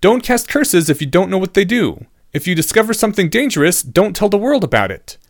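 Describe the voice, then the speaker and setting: A man's voice: high voice, big pitch swings, fairly quick, dry recording, studio quality, voice pushed up, exaggerated voice. A man voicing an earnest, precocious schoolboy in an audiobook story, articulate and questioning. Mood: grave, insistent.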